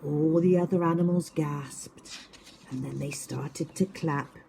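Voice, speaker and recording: A woman's voice: low-pitched, steady tone, slow, vocal fry, mellow, a little hiss or hum. A woman narrating a children's story expressively, as a teacher does at story time.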